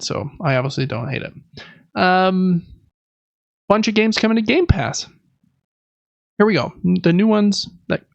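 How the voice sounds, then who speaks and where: A man's voice: a little high, creaky, dry recording, highs cut off. A man hosting a casual gaming podcast, reading through news lists in a chatty, informal way with personal asides. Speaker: podcast host.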